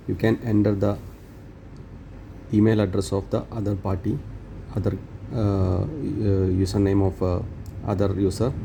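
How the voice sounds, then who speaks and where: Male tutorial presenter, lowish voice, halting non-native phrasing, explaining steps off the cuff over light ambient noise. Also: gravelly. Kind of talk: unscripted step-by-step tech tutorial.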